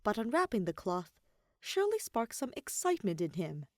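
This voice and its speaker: Female audiobook-style narrator: mid-range, animated melody, measured phrasing, close dry sound. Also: medium pitch, no room sound. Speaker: fan fiction narrator. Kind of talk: scripted story narration.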